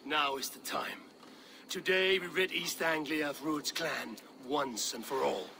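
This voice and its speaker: Fierce high-pitched Viking war leader. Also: male voice, a little slow, heavy background noise. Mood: rousing. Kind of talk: dramatic video game acting.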